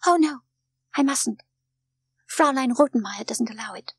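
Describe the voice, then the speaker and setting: A woman voicing a gentle, homesick little girl in a storybook, speaking in an earnest, hesitant way. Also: high-pitched, unhurried, breathy edge, no echo, pitched above the performer's own voice, full character voice. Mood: timid.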